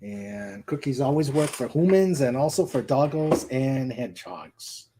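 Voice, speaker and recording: A man's voice: mid-range voice, lively intonation, measured pace, vocal fry, noisy background. A man hosting a casual live chat, reading out viewer comments in a hesitant, rambling way and repeating his phrases. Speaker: show host. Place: at home in a room with a couch.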